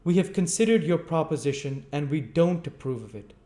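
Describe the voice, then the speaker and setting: A solemn board official from a storybook, performed by a man, speaking in a raised, high pitch. He is stingy, curt and firm. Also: animated, brisk, warm tone, close to an everyday voice. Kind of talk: storybook character voice.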